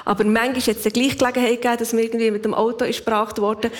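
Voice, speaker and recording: A woman's voice: on the high side, flat delivery, rapid-fire. Woman, 50s, swiss german accent, reflective, laughs easily, casual talk. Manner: laughs often, reflective storytelling. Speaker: guest. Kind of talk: casual conversation.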